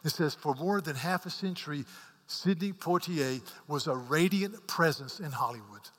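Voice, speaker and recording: A man preaching a sermon as a pastor, earnest, repeating words as he rises to emphatic peaks and drops to hushed asides. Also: a little high, moderate pace. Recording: long hall reverb.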